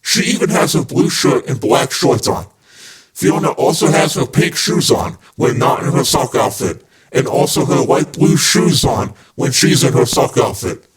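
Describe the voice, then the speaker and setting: A man's voice: mid-range voice, very animated, moderate pace, low-quality recording. A man hosting a fan podcast, reading scripted narration. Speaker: podcast host.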